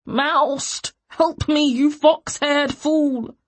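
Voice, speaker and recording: A woman plays a scared exiled alchemist in a novel reading. He is husky and desperate, pleading breathlessly, in a very dry recording. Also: medium pitch, very animated, takes it slowly, no room sound, full character voice. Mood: desperate shouting. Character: frightened, pleading exiled male alchemist doctor.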